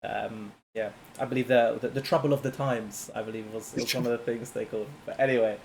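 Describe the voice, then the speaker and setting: A man's voice: medium pitch, brisk, creaky, a little hiss or hum, low-quality recording. A man co-hosting a history podcast, chatting casually and laughing easily. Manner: laughs easily, animated asides. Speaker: podcast co-host.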